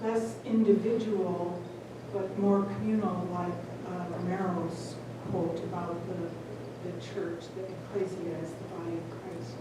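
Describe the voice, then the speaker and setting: A woman in the audience offering a thoughtful, halting comment at a parish talk. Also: lowish voice, flat delivery. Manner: halting, thoughtful, many pauses. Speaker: audience member. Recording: echoey hall, distant mic, noisy.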